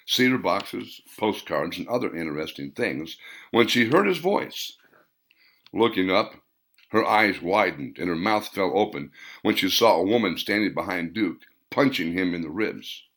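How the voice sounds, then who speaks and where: A man reading his own novel aloud as an audiobook narrator, in a measured delivery that slows on key phrases. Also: low-pitched, fairly bright tone.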